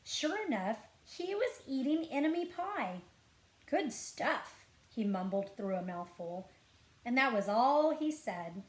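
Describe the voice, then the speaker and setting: A woman with a fairly high, very expressive voice reads a children's picture book aloud. She stresses words dramatically and leaves pauses for effect. The room adds a noticeable echo. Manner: animated, dramatic emphasis and pauses. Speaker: school librarian reading aloud. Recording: roomy, echoing sound.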